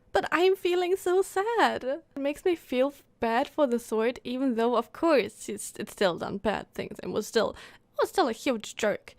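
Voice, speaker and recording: A woman giving casual live commentary as a game streamer, expressive and emotionally invested. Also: high voice, dry recording.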